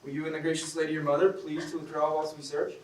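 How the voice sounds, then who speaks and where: A man's voice: a little high, flat delivery, fast, darkish tone, close to an everyday voice. A man on stage playing a brusque, formally courteous military officer in a classic comedy, with a commanding manner. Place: theatre stage, recorded from the audience. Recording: roomy, distant stage recording with hiss.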